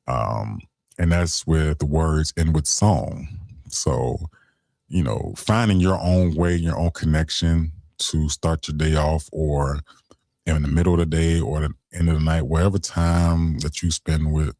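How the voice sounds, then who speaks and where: A young man hosts a late-night radio show in a relaxed, chatty way. His voice is very low and gravelly, with a lot of vocal fry. The sound is dry and close, with little room echo. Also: a little slow. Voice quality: deep voice. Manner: laid-back, conversational, giving shout-outs.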